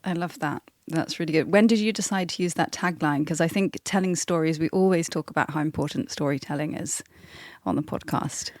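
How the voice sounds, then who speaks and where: Low-pitched woman hosting a podcast. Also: a little fast. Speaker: podcast host. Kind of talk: interview with scripted introduction.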